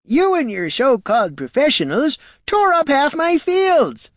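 Storybook old male farmer, shrill and wavering, rustic and aggrieved. Dry, close read. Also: huge pitch swings, unhurried, no room sound, full character voice. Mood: outraged. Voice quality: high strained rustic voice. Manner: pleading, indignant outbursts. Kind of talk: audiobook character voice.

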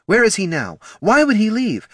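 A man voicing an anguished, furious female elf ranger with a fraught, halting manner in a fantasy audiobook. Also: high-pitched, huge pitch swings, a bit bright, dry recording, studio quality, voice pushed up, full character voice. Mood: confused, demanding. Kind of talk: fantasy audiobook character dialogue.

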